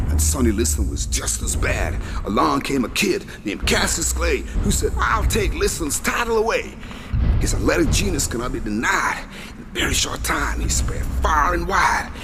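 An actor in a radio play playing a young, boastful heavyweight boxing champion who teases and declaims with cocky theatrical flair. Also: male voice, a little high. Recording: noisy, with crowd chatter behind.

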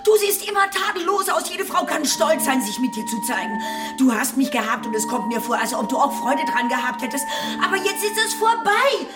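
Breezy heartbreaker, very high voice, dismissive radio-drama delivery, echoey under loud music. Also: female voice, big pitch swings, brisk, distant mic. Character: coolly frank woman casting off her adoring lover. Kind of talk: German radio play acting.

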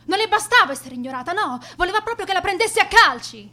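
An actress playing a vain, contemptuous high school girl bully in a radio drama monologue, haughty and self-absorbed. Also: expressive, fast talker, sharp tone, exaggerated voice. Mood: furious.

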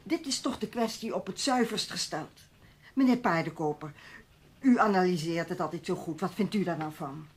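Dutch radio drama: high-minded, indignant newspaperwoman, husky, emphatic, firing off challenging questions. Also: expressive, normal speed. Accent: Dutch, Netherlands. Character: indignant, high-principled woman newspaper journalist. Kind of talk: Dutch radio play acting.

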